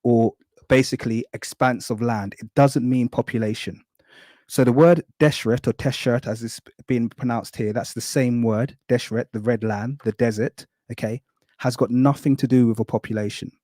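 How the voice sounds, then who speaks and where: A man lectures carefully on a live stream, walking through one point after another and promising to be quick. His voice is mellow and soft-edged. The sound is dry and close. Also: medium pitch, steady tone, dry recording. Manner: thorough, apologetic, keeps saying really quickly. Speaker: guest explaining hieroglyphs.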